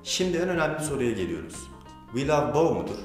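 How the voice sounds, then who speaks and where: A man's voice: a little high, sing-song, a little fast. A man putting scripted questions as an interviewer. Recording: roomy echo with music behind. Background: background music.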